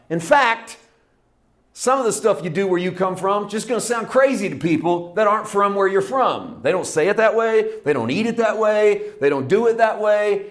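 Man preaching with emphatic repetition. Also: sits high. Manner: emphatic, repetitive preaching cadence, swings from loud to hushed. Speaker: pastor. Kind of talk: sermon.

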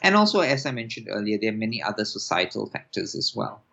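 A man in his forties from Singapore, an applied linguist answering interview questions in a measured way with many fillers and restarts. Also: fairly low pitch, very animated, vocal fry, sharp tone. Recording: dull lo-fi sound.

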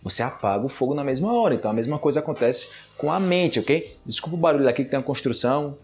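A man's voice: medium pitch, racing, a little hiss or hum. Brazilian man hosting a chatty podcast. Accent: Brazilian Portuguese. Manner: animated, rises sharply when acting out quoted remarks; conversational, with frequent tag questions. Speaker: podcast host teaching Portuguese. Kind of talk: casual solo podcast monologue.

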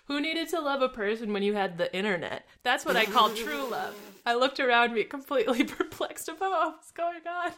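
A woman reading a fan story aloud for laughs, with deadpan asides and frequent laughter. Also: high-pitched, fairly quick, few pauses. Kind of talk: comedic dramatic reading with banter.

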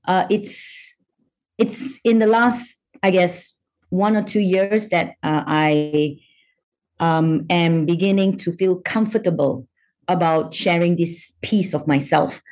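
Slow, measured woman from Malaysia. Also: on the low side, a little mellow. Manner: measured, thoughtful, frequent ums. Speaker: psychiatrist guest. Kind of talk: reflective interview answers.

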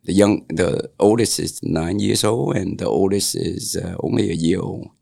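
A man in his sixties from Vietnam, speaking English with a Vietnamese accent in a casual interview, halting and full of fillers and restarts. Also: deep voice, vocal fry, mellow. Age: 60s. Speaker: interviewee, local business owner. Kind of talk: casual oral-history interview.

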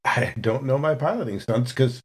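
A man's voice: medium pitch, animated, moderate pace, breathy edge. A man playing a tabletop role-playing game, narrating his character's actions with wry understatement.

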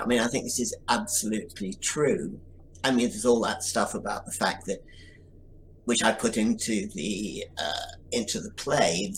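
A man's voice: fairly low pitch, fairly bright tone, a little hiss or hum, poor audio. A man talking reflectively as a writer interviewed on a podcast, thinking aloud with restarts. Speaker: writer of an audio drama.

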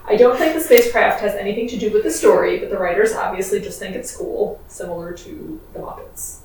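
A soft-spoken woman co-hosts a podcast, recapping a comic in casual chat. She sounds set back from the microphone in a very echoey room. A faint noise runs underneath. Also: medium pitch, animated, fairly quick, recorded from a distance. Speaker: podcast co-host.

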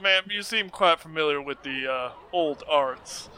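A man with a bright, forceful voice speaks for his detective in a tabletop game. His voice is big and carries, and he breaks into laughter often. Faint noise hums under the recording. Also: on the high side, a little slow. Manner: loud, carrying voice, laughs often. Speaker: player. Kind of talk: tabletop role-play, in character as a detective.

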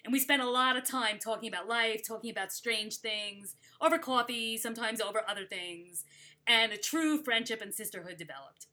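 Woman co-host, relaxed chatter, chimes in with quips, slightly high bright voice. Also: fairly quick. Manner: laughs along, chimes in with quips. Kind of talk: casual chat.

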